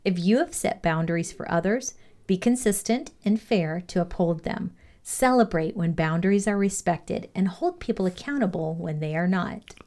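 Low-voiced woman reading a self-improvement lesson. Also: moderate pace, dark tone. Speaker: reader of a leadership values lesson. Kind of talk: scripted instructional narration, read aloud.

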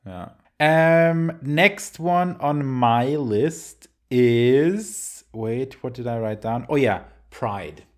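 A man's voice: mid-range voice, expressive, extremely slow, few pauses, a little mellow. A man co-hosting a podcast, rambling casually about films with lots of fillers and teasing remarks. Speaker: podcast co-host.

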